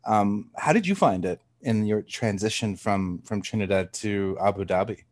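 A man's voice: lowish voice, moderate pace, darkish tone. A man hosting an interview podcast, asking questions in a friendly, curious way. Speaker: podcast host. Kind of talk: casual interview.

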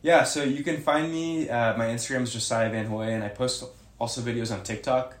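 A man's voice: mid-range voice, fairly even intonation, fairly quick. A young man who is a guest on a podcast, chatting casually in a rambling way and correcting himself. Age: young adult. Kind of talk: casual interview chat. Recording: echoey room.

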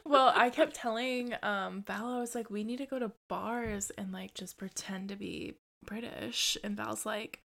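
A woman's voice: mid-range voice, fairly even intonation, measured pace, creaky, hardly any pauses, a bit bright. A woman with an American Southern accent co-hosting a podcast in casual, joking chat, giggling often. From United States. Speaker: podcast co-host.

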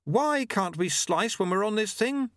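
A doubtful male apprentice on an uncomfortable journey, voiced by a man. He speaks high and loud, with plain questioning, as in a storybook. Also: animated, measured pace, dry recording, studio quality.

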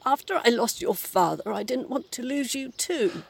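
A woman acts as a formidable old mother, dead but still bossy. Her voice is gravelly and husky, with a dry, fussy, genteel manner made for audio drama. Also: a little high, lively intonation, normal speed, exaggerated voice. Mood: pleading. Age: 70s. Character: domineering, sardonic old mother's ghost, 78. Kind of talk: audio play acting.

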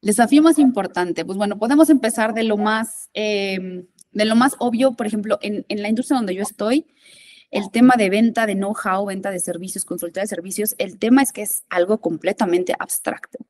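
A woman talks as an interview guest, working her thoughts out aloud with frequent fillers and self-corrections. She slips English business terms into her sentences. Her pitch sits in a middle range, and the sound is dry and close. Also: a little fast, a little mellow, no room sound. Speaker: interview guest, innovation consultant. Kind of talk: conversational podcast interview.

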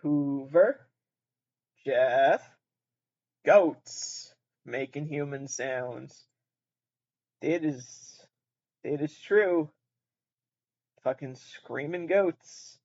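A man's voice: a little high, drawn-out words, pauses often, darkish tone, no echo, highs cut off. A man hosting a podcast in a very nasal voice, rambling casually, swearing freely and laughing at himself. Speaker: podcast host.

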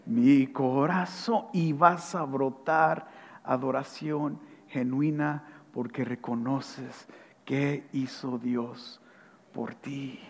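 A man preaching an animated sermon, swinging between loud excited voices and soft hushed lines. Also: medium pitch, extremely slow, vocal fry. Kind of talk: animated sermon with acted-out anecdotes. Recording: long hall reverb.

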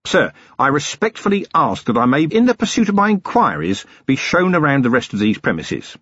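A man voicing a seasoned male police commander who speaks in a measured, firm, dryly wry way. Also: mid-range voice, very animated, fairly bright tone, husky, no echo, highs cut off, pitched above the performer's own voice.

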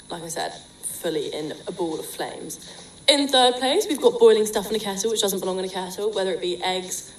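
A young woman, about twenty, hosting a student radio show in casual, jokey, opinionated chat, her voice a bit croaky. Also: lowish voice, slight background noise, rough recording. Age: young adult.

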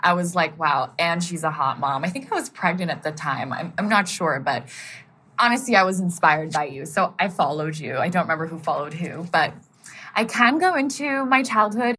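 A woman's voice: lowish voice, expressive, moderate pace, pushed and bright, breathy edge. A young woman from Germany whose first language is German, speaking with an American accent as a podcast guest. She chats casually, with lots of fillers and frequent laughter. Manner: chatty, laughs often, many fillers like "like". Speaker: guest, model.

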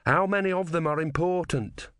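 Guy doing an earnest young priest lad for an audiobook, bit hesitant, pitched up a little, really dry sound. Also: moderate pace, fairly bright tone, smooth, no echo, lightly acted. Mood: anxious, insistent. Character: Brother, earnest, slow-spoken young male novice priest.

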